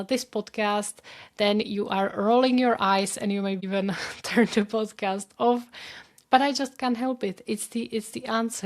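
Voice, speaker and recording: A woman with a Czech accent hosts her own podcast, talking earnestly and directly to her listeners. Her voice sits at a middle pitch. She is a young adult and speaks English as a second language. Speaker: podcast host and career coach. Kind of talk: solo podcast talk.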